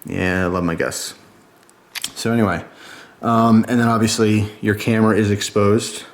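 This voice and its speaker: Male reviewer, lowish pitch, casual and chatty, informal enthusiast delivery. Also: fairly even intonation, moderate pace. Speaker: product reviewer.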